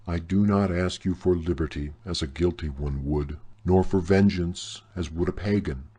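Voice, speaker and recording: A man's voice: low-pitched, moderate pace, mellow, husky. A man voicing a scheming, seductive woman prisoner who pleads in a theatrical manner, in a storybook reading. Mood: pleading.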